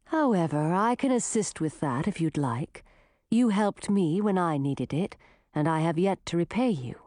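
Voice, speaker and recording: A woman voicing a female forest spirit who speaks in a calm, measured and knowing way. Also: on the low side, dry recording, studio quality, pitched below the performer's own voice, close to an everyday voice.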